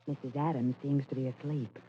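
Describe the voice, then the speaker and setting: A woman's voice: a bass voice, steady tone, fairly quick. A woman playing a plucky but nervous detective's assistant, eager and questioning, in 1940s radio drama style. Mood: curious. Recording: tinny, hissy old radio recording.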